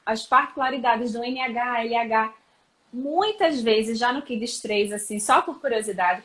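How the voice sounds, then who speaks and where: Brazilian woman, teacher type, higher pitch, explaining in a live chat. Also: a bit bright, low-quality recording. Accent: Brazilian Portuguese. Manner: explanatory, many fillers like "né" and "então". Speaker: school educator. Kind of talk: live-stream conversation.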